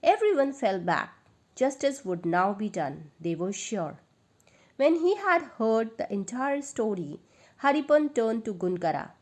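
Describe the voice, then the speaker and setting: Slow-paced woman telling a children's story. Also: a little high, big pitch swings, a little mellow. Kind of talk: expressive children's story narration.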